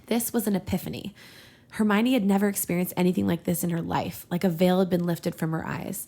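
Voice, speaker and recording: A woman with a noticeable creak in her voice reads a story aloud as a podcast host. She plays it for comedy, giggly and quick to step out of the text for banter. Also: fairly low pitch, steady tone, mellow. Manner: giggly, breaks off to joke. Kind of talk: comedic read-aloud of fan fiction with banter.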